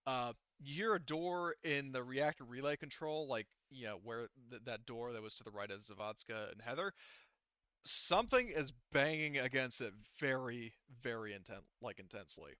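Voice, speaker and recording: A man with a clear, bright voice narrates a tabletop game as its game master. He feels his way through scenes informally, with many hesitations. The sound is close and dry but a little dull, like lo-fi voice chat. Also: mid-range voice, animated, no echo. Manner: halting, filler-heavy, informal.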